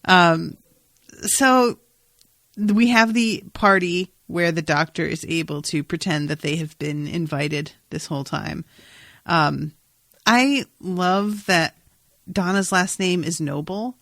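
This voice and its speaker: A woman hosting a podcast, casually recapping an episode in an animated, amused, sometimes sweary way. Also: lowish voice, slow pace, no room sound, clean studio sound. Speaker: podcast host.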